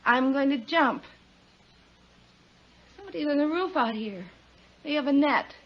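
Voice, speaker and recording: A woman's voice: sits high, lively intonation, unhurried. An actress playing an anguished, despairing young woman threatening to jump in a 1950s radio drama. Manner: anguished, weary, self-pitying. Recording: muffled, noisy 1950s radio recording.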